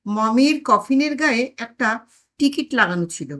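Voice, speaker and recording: A woman reading a comic story aloud in an expressive narrating style. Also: on the high side, moderate pace. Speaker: story reader and channel host.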